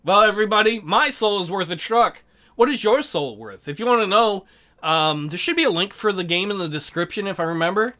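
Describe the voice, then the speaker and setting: A woman's voice: mid-range voice, animated, brisk, a bit bright. A woman hosting a let's play, giving casual game commentary full of joking, sarcastic asides.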